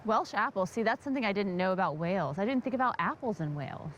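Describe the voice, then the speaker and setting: Woman, travel host, bubbly, enthusiastic, very lively pitch, noisy outdoor field recording. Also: quick pace, dull audio. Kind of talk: on-location interview. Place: outdoors on farms.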